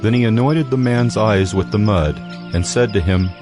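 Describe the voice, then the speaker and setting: A man giving measured, scripted narration for a dramatized audio Bible, in a storytelling manner. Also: fairly low pitch, music in the background.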